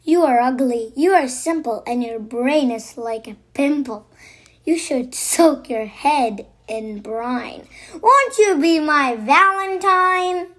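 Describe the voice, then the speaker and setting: A woman reading a children's storybook aloud in a deliberate, expressive way. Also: high voice. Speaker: children's book narrator. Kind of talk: read-aloud storytelling for kids.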